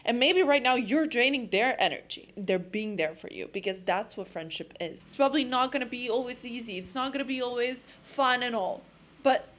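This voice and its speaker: A young woman with a Serbian accent, vlogging to camera in a blunt, impassioned monologue about friendship. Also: a little high. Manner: impassioned, blunt, repeats words when emphatic. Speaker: lifestyle vlogger.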